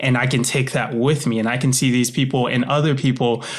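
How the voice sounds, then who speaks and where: A man's voice: mid-range voice, fairly even intonation, a little fast. A man speaking as an interview guest in an earnest, reflective way, often voicing hypothetical quotes as he explains himself. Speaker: interview guest, author and voice actor.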